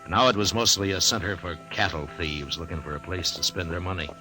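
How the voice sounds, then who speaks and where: An actor playing a steady, laconic frontier marshal with dry, skeptical understatement, in the style of an old-time radio western. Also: male voice, low-pitched, brisk, over music, natural voice. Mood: matter-of-fact narration. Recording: hissy old radio recording.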